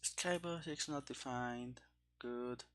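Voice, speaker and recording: A man thinking aloud in short, halting phrases during a casual live coding session, as a programmer streaming game development. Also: mid-range voice, a bit bright, slight background noise.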